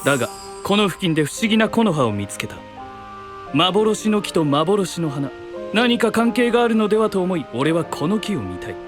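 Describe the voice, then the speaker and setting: An actor playing an aloof, blunt young knight with a curious mind, speaking in a terse, self-assured way in an anime-style audio drama. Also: male voice, sits high, big pitch swings, moderate pace, music in the background. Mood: matter-of-fact.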